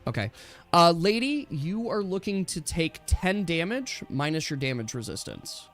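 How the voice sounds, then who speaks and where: A man with a fairly high, animated voice referees a tabletop role-playing game over background music. He talks casually and explains things step by step, as a game master would. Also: normal speed. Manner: explanatory, checks rules step by step.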